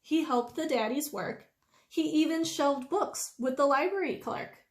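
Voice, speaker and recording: A woman reading a children's story aloud expressively, with deliberate, drawn-out emphasis. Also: high-pitched, lively intonation. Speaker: storytime reader. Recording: roomy indoor echo.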